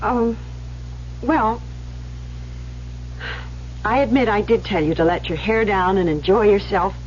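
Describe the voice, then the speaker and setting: A woman's voice: mid-range voice, measured pace, close to an everyday voice. An actress playing a worldly, protective young woman in a radio drama, coaxing and probing her friend. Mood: probing, uneasy. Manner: coaxing, probing, hesitant when worried. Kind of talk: 1970s radio drama acting. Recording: muffled, noisy old radio recording.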